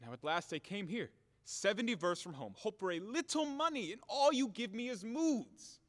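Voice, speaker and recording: Casting: man acting blustery, exasperated landowner for stage farce, highish voice leaping around, reverberant hall sound. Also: lively intonation, moderate pace. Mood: indignant, demanding. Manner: theatrical, exasperated ranting and grumbling. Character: blustering, furious, self-pitying male landowner demanding his money. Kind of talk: stage comedy acting in an audio play.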